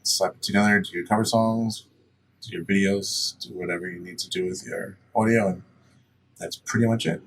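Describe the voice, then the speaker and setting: A man presenting a casual step-by-step software tutorial in a plain-spoken, instructional way. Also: low-pitched, steady tone, moderate pace, vocal fry, husky. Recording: distant mic, faint background noise.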